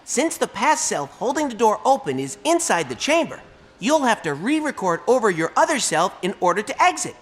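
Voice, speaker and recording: An actor playing a small, earnest robot helper in a game, giving courteous step-by-step instructions. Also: male voice, high-pitched, big pitch swings, normal speed, fairly bright tone. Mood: patient instruction. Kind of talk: game tutorial acting.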